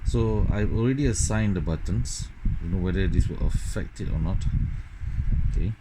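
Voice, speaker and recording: Deep-voiced Singaporean man demoing a gamepad. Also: moderate pace, very noisy. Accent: Singaporean English. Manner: chatty, thinking aloud with many okays and fillers. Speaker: gadget reviewer. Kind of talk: casual hands-on product demo. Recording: loud game music behind. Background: loud game music.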